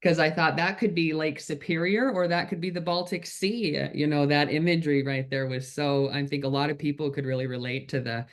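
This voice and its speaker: A man's voice: fairly high pitch, steady tone, fairly quick, few pauses. A man hosting an online Q&A over a video call, effusive and full of thanks and praise for his guest. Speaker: host, moderator. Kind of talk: moderating a webinar Q&A.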